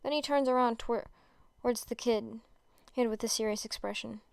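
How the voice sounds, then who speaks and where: A woman narrates a story in a high, smooth voice. She reads like an amateur, stumbling and repeating words as she goes. The sound is very dry, with no echo. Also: steady tone, moderate pace. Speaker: podfic narrator.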